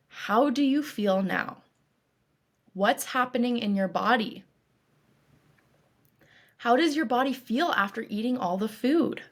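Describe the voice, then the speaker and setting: Woman, fairly high voice, guided meditation, calm, reassuring, deliberate coaching delivery. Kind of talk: guided meditation, scripted narration.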